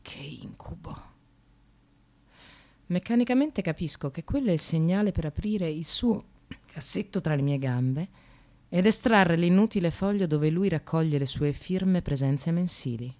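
A fed-up receptionist in a radio play, sardonic and bitter. Her lowish voice swings widely between muttered asides and dramatic outbursts. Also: animated, measured pace, mellow. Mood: contemptuous, deadpan. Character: exasperated, bitterly sarcastic precarious receptionist, a working mother. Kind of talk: theatrical radio monologue.

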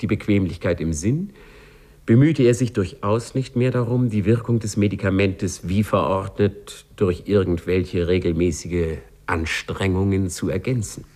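A man narrating a 1950s radio play as a wry, genteel storyteller, measured and dryly ironic. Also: lowish voice, darkish tone, husky. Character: wry, genteel male storyteller addressing the listener.

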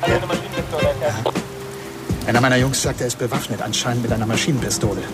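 An actor playing a matter-of-fact police tactical commander reporting in clipped, factual phrases in a radio drama. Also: male voice, medium pitch, brisk, over loud music, close to an everyday voice. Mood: businesslike, alert.

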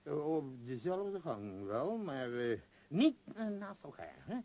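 Husky, imperious tycoon. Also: male voice, a little high, sing-song, slow pace, warm tone, exaggerated voice. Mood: bossy, distracted. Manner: hesitant, rambling, bossy, self-important. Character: imperious, absent-minded billionaire tycoon, no longer young. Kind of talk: radio play acting. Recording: muffled, dull radio play recording.

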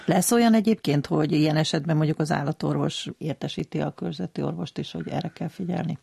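A man's voice: a little high, vocal fry, mellow, breathy edge, no echo. A man hosting an interview, asking questions in a conversational, inquisitive way. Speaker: interviewer, host.

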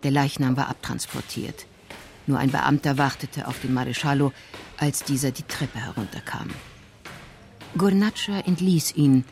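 A woman's voice: extremely low pitch, normal speed, a little mellow, a little hiss or hum. A woman narrating a scripted crime story for a radio play in a composed, precise manner.

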